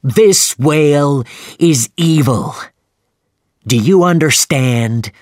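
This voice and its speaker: Brief: narrator doing an obsessed one-legged sea captain, loud and drawn-out, slow delivery, dry close sound. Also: male voice, lively intonation, dry recording. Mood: fanatical. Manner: loud booming declarations, drawn-out words.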